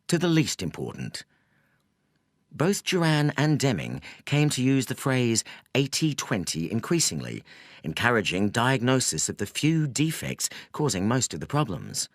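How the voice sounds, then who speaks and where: A man narrating a nonfiction audiobook from a script in a measured, instructive way. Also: on the low side, no room sound, studio quality.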